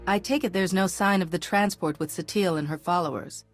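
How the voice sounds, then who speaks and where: A woman's voice: lowish voice, a little fast, soft background music, natural voice. A woman playing a composed, direct veteran hero in a space fantasy game, cool and concise.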